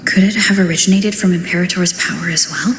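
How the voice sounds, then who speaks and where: A woman's voice: lowish voice, fairly even intonation, fast, close to an everyday voice. A woman playing a serious young heroine in a video game, musing thoughtfully and measuredly in a reverberant space. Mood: pensive, puzzled. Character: pensive, serious young female wandering heroine. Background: music.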